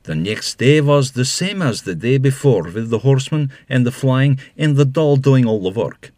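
A man acting as the measured storyteller of a fairy-tale audio drama, reading scripted narration. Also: mid-range voice, expressive, a little mellow, lightly acted. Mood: narrating.

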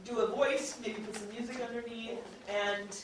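A woman speaks as a chatty, animated panelist, addressing an audience without a script. She sits away from the microphone in a heavily reverberant room, with a faint layer of noise around her voice. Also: on the high side, normal speed. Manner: chatty, animatedly mimics others in quoted speech. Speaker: podcast producer speaking as panelist. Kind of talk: unscripted panel talk to an audience. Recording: very echoey room, distant mic, faint noise.

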